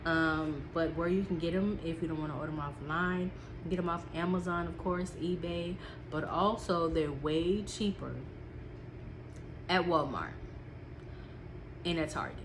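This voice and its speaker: Stuffy-nosed woman, low voice. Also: moderate pace, pauses often, noisy background. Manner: chatty, with frequent "um" and repeats. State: stuffy, sniffling. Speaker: small business vlogger. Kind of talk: casual talk from notes.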